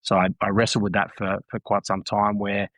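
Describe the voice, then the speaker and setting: A man's voice: fairly low pitch, completely flat delivery, brisk, a bit bright, dry recording, studio quality. A man in his thirties, a guest on a casual podcast, talking earnestly and reflectively and often repeating phrases. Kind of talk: casual conversation.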